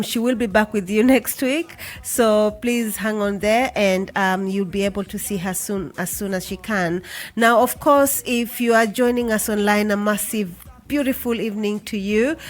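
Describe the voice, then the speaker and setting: A woman hosting a community radio talk show, effusive and full of fillers as she welcomes listeners. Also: medium pitch.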